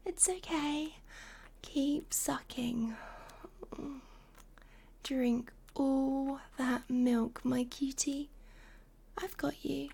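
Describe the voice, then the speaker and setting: A woman playing a doting, seductive lover in an intimate adult audio roleplay, breathy and coaxing, often slipping into whispers. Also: high voice, fairly even intonation, extremely slow, pauses often, warm tone, full character voice. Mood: soothing.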